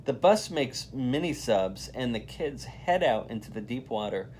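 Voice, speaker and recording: A volunteer reads a children's story in a lively, varied voice of medium pitch. There is faint noise in the background. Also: unhurried. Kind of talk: animated children's read-aloud.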